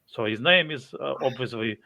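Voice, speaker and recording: A man's voice: medium pitch, normal speed, gravelly, bright, forceful tone. A man hosting a podcast interview, speaking hesitantly with frequent fillers and restarts. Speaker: podcast host. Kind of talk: casual interview.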